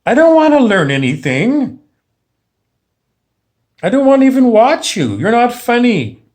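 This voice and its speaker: A man's voice: very high-pitched, big pitch swings, a little slow, a little mellow, voice pushed up, exaggerated voice. A man acting out a defiant sixty-five-year-old retiree who is insistent and dismissive, in an informal monologue.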